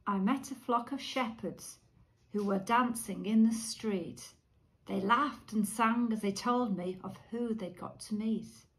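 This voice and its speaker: A woman's voice: mid-range voice, unhurried, low-quality recording. A woman reciting a storytelling ballad in verse. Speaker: church group leader reading aloud.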